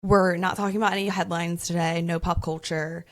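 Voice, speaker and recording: A young adult woman co-hosting a podcast in relaxed, chatty talk full of "like". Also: lowish voice, a little fast. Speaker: podcast co-host. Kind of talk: casual chat.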